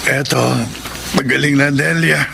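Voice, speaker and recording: Gravelly, worried middle-aged father. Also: mid-range voice, fairly even intonation, unhurried, over music. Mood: grateful. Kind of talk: Filipino radio drama acting. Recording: noisy radio drama recording.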